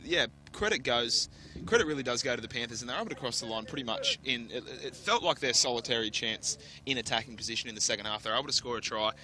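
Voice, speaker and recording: A man's voice: mid-range voice, fast, crowd noise, low-quality recording. A man giving chatty, analytical colour commentary at an outdoor rugby league match. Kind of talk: live sports commentary and analysis.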